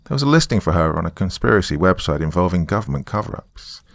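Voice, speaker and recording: Man playing a deep, gravelly, sardonic storyteller. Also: big pitch swings, fast, no room sound, full character voice. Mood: wry, confiding. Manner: sardonic, dry, confiding. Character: wry, jaded divorced small-town man recording his account. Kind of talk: first-person horror story narration, conversational.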